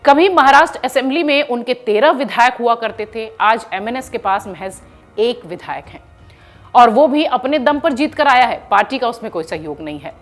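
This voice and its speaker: A woman's voice: on the high side, animated, moderate pace, soft background music. A woman news presenter narrating an explainer in an emphatic, explanatory manner, like a reporter walking viewers through a story.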